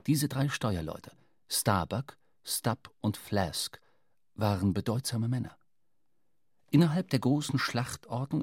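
An actor playing a reflective sailor who narrates a whaling voyage in a radio play, in measured, dramatic literary storytelling. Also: male voice, on the low side, breathy edge, no room sound, clean studio sound, full character voice. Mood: reflective, grand.

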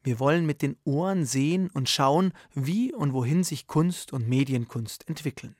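A man's voice: fairly high pitch, expressive, moderate pace, a little mellow, dry recording, studio quality. A man presenting a scripted radio magazine as its host.

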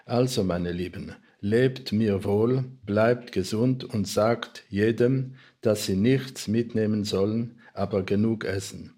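Slow-reading, low-voiced man. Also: dark tone. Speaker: reader of historical letters. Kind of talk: scripted reading of a personal letter.

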